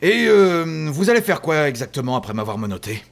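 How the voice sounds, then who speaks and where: A man's voice: a little high, very animated, brisk, vocal fry. A man playing a meek, agreeable, hapless crook in a French comedy audio drama. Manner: apologetic, agreeable, hesitant. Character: meek, polite, hapless male bank-worker crook.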